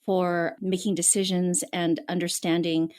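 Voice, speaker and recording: A woman's voice: fairly low pitch, flat delivery, a little slow. A woman hosting a podcast interview, asking questions in an affirming way. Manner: warm, affirming questions with light laughs. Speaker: podcast host.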